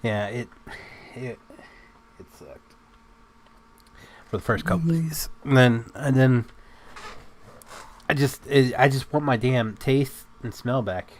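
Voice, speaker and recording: A man's voice: mid-range voice, slow, long pauses, a little hiss or hum. A man with a slightly nasal voice from a recent illness, chatting casually and telling joking stories while playing a video game with a friend. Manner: joking, animated storytelling. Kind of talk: casual chat while gaming.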